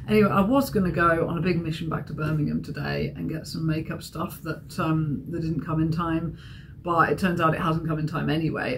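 A woman's voice: lowish voice, creaky, a little hiss or hum. A young woman vlogging from a hotel room, rambling and giggly, hungover and getting a sore throat. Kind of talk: casual vlog chat.

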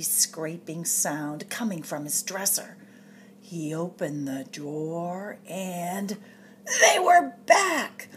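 A woman reading a picture book aloud to children in an expressive, dramatic storyteller's way, drawing out suspenseful phrases. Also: mid-range voice, a little hiss or hum. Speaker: storytime reader at a public library.

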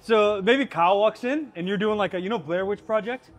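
A young man chatting and joking with a friend while putting together gym equipment in a large indoor gym, picked up by a nearby camera. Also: high voice. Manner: joking, quick banter. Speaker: gym vlogger. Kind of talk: casual chat while assembling gym equipment.

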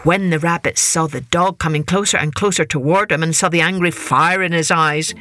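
A woman's voice: deep voice, steady tone, normal speed, fairly bright tone. A woman telling a children's story with dramatic, emphatic expression, as a podcast host.

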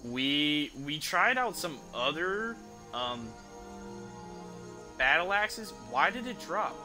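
A young man giving casual gameplay commentary in a rambling, halting way, streaming from an indoor room. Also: on the high side, sing-song, low-quality recording. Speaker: game streamer. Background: game music.

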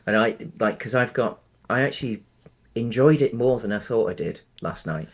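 A man's voice: medium pitch, lively intonation, moderate pace. A man in his thirties co-hosting a casual film chat over a headset on a video call from home, rambling with many restarts and fillers. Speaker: podcast co-host.

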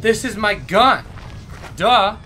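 Breezy, flippant young soldier voiced by a man, high pitch, confident, audio comedy with background noise. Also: animated, slow pace. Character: breezy, cheerful, flippant male army private. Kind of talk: comedic radio-play acting.

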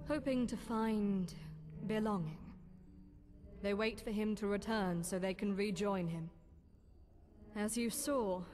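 A woman playing a solemn, loyal demon warrior in scripted game dialogue, measured and formal. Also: mid-range voice, a little mellow, natural voice.

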